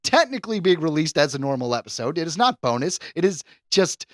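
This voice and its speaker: Animated higher-voiced man, jokey podcast host. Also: a little fast, no echo, studio quality. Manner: jokey, mock-serious, emphatic. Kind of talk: casual comedic podcast banter.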